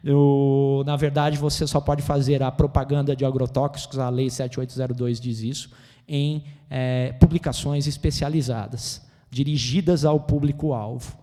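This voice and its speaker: Brazilian male lecturer, Brazilian Portuguese accent, excitable, keeps checking in with the room; mellow tone. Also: medium pitch, normal speed. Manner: animated, gesticulating, excitable; constant "né?" tag questions. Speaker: seminar lecturer. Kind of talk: seminar lecture. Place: auditorium. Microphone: handheld microphone. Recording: reverberant auditorium.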